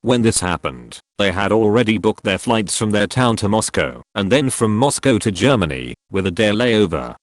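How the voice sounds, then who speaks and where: A man narrating, reading forum posts aloud in a steady, even delivery. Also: lowish voice, normal speed, few pauses, dry recording, clean studio sound.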